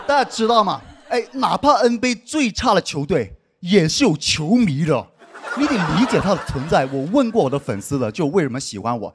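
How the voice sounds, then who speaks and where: A man's voice: fairly high pitch, noisy background. A young man doing a self-mocking stand-up set on a big studio stage, with a handheld mic and chatty asides to the crowd. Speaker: stand-up comedian. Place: large studio stage before an audience. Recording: live stage mic with audience laughter.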